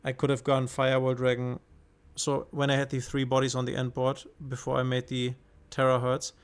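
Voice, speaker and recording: A man's voice: mid-range voice, completely flat delivery, a little fast, clear, resonant tone. A man from Germany with a German accent, casually commenting on his card game while streaming and thinking aloud with hesitations.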